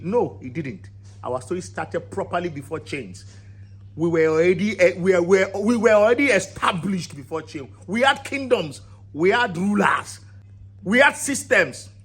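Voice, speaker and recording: A man's voice: high voice, a bit bright, breathy edge. Rousing man, emphatic lists. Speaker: founder of a cultural channel. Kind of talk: impassioned monologue to camera.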